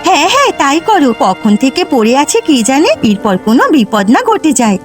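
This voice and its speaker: A woman's voice: high voice, very animated, fairly quick. A woman voicing an earnest young village woman in a cartoon, anxious to help and urgent in manner. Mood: anxious, pleading. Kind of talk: Bengali cartoon voice acting. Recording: music behind the voice.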